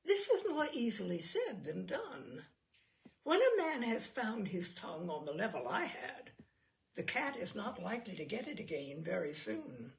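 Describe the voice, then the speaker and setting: A woman reading humorous short fiction aloud as an expressive, deliberate and theatrical literary narrator. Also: medium pitch, creaky. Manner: deliberate, theatrical delivery with dialogue acted out. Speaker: reader of humorous short stories.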